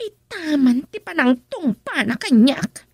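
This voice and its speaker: A woman with an Ilocano accent playing a defiant, tipsy married daughter in a radio drama, with a creaky voice. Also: high voice, no room sound, clean studio sound, full character voice.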